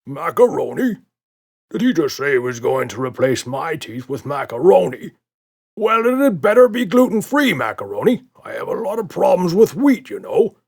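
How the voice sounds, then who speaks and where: A man voicing an anxious, fussy little male tusked monster with a raspy, complaining voice in a children's storybook. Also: on the high side, sing-song, moderate pace, pitched above the performer's own voice, full character voice. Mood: indignant, worried. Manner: fretful, complaining.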